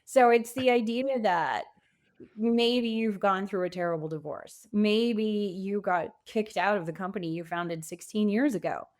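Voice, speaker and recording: A woman guest on an interview podcast, speaking reflectively and articulately with emphatic pauses. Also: mid-range voice, a little mellow. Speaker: podcast guest, pivot coach.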